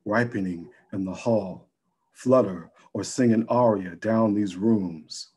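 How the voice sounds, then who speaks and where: A middle-aged man speaking and reading poetry unhurriedly, pausing between phrases, on a video call from home. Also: fairly low pitch, a little mellow, breathy edge. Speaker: poet. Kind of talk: casual introduction and poetry reading. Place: room at home. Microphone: computer mic on a video call.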